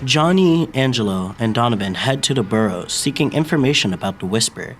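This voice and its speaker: A man's voice: mid-range voice, expressive, fairly quick, faint music behind, close to an everyday voice. A young man playing the solemn, dramatic narrator of an old-time radio mystery show. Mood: suspenseful. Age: young adult. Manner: dramatic, formal storytelling.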